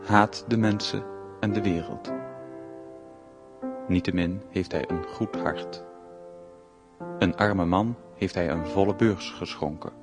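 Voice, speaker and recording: Deep, slow male radio drama narrator. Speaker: announcer and narrator. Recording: narration over loud piano music.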